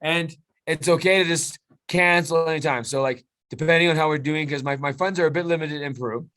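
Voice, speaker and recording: A man's voice: a little high, brisk, fairly bright tone. A middle-aged man from Canada, a client talking casually on a video call, hesitant, with many restarts and fillers.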